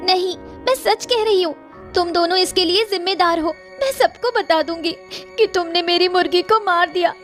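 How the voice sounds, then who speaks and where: A woman voicing a distressed, excitable village woman in a cartoon story, plaintive and agitated. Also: very high voice, moderate pace, soft background music. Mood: upset, accusing. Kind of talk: Hindi cartoon story voice acting.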